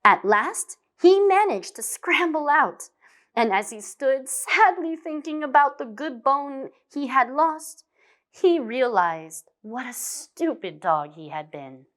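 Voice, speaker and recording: A woman reading scripted fables as a storybook narrator, expressive, dramatic and deliberate. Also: a little high. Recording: long hall reverb.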